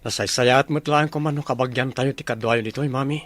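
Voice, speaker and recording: A man's voice: mid-range voice, steady tone, fairly quick, fairly bright tone, no echo, lightly acted. A man playing a dutiful young army officer and devoted son in a radio drama. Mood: earnest, concerned.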